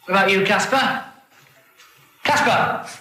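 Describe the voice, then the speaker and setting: A man acting as a brisk, exasperated schoolteacher questioning and commanding his class in a film drama. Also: sits high, sharp tone, soft background music. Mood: stern, irritated. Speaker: teacher. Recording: noisy, echoey old film classroom soundtrack.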